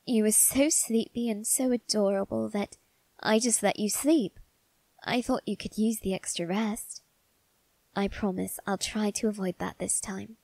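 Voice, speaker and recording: A woman voicing a doting, secretive young male vampire aristocrat in a soft, intimate ASMR roleplay, with refined, coaxing phrasing. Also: mid-range voice, steady tone, vocal fry, no room sound. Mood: gently soothing. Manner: coaxing, gentle, unhurried, refined phrasing with tag questions. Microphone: close, dry microphone.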